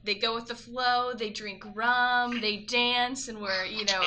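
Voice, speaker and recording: A woman's voice: on the high side, fairly even intonation, unhurried, sharp tone, slight background noise. A woman co-hosting, putting questions to a guest and narrating commentary. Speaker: co-host and interviewer.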